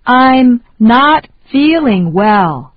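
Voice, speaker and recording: A woman reading short phrases slowly and deliberately for learners to repeat. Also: a little high, sing-song, no room sound, lo-fi sound. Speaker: English lesson reader.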